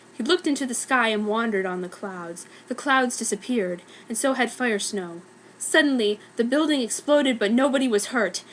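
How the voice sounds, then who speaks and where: A woman's voice: on the high side, a bit bright, slight background noise, rough recording. A woman giving a dramatic, mock-serious reading of a scripted story as narrator. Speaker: reader of a fan story.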